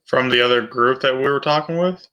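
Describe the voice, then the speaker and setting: A man's voice: medium pitch, fairly quick, pushed and bright. A man talking casually as a player in a tabletop game.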